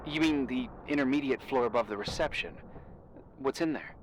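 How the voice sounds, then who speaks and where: A man's voice: mid-range voice, normal speed, slight background noise, lightly acted, poor audio. An actor playing a guarded, cryptic man on a call in a naturalistic video game drama, restrained and questioning. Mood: calm, curious. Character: guarded, cryptic man calling over a radio phone.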